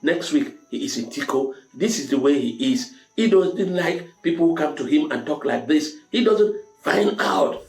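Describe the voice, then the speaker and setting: A man's voice: a little high, expressive, normal speed, hardly any pauses. A man with a Cameroonian accent hosting a motivational advice talk, speaking directly to listeners in an emphatic, avuncular way and repeating key phrases. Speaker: storytelling show host giving advice. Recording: echoey room with music underneath. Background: music.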